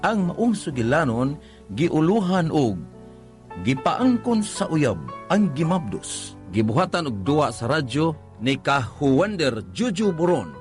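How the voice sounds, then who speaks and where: A man's voice: a little high, big pitch swings, measured pace, darkish tone, faint music behind. A man with a Cebuano Filipino accent announcing a radio drama in a scripted style.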